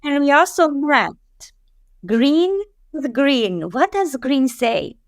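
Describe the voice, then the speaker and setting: A woman's voice: high voice, very animated, slow pace, no room sound, studio quality. A woman from Romania hosting a casual interview, bubbly and emphatic, laughing often and building lists of words. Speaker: podcast host.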